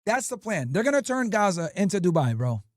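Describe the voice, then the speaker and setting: A man's voice: high voice, fairly quick, no echo, clean studio sound. A male livestream host giving brash, profane commentary and telling stories with lots of swearing. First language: English. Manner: brash, profane, animated storytelling.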